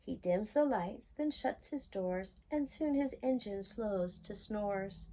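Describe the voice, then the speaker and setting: A woman reading a children's bedtime picture book aloud in a gentle, singsong way. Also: medium pitch, slow pace. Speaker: children's storytime reader.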